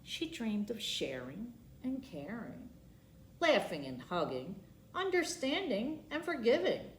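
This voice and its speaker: A woman reading a picture book aloud for children at storytime, theatrical and leaning on the colourful words. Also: fairly high pitch. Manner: theatrical, emphasizing alliterative words. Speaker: library storytime reader. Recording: roomy, echoing sound.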